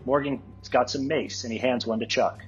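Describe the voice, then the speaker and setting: A man's voice: mid-range voice, steady tone, normal speed, lo-fi sound, faint music behind. A man narrating a script, reading out the stage directions at a table read.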